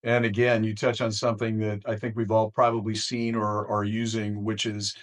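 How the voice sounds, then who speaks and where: A man with a fairly low voice hosts an interview. He builds up to each question with measured lead-ins, choosing his words in a deliberate and formal way. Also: completely flat delivery. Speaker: interview host.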